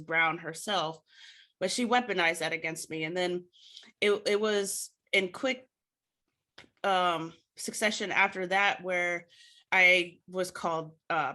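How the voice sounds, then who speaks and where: A woman's voice: lowish voice, bright, forceful tone. An American woman speaking as a podcast guest, telling personal stories reflectively in an earnest, articulate way with proper diction. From United States. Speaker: podcast guest, literature scholar. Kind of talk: reflective personal storytelling in a conversational interview.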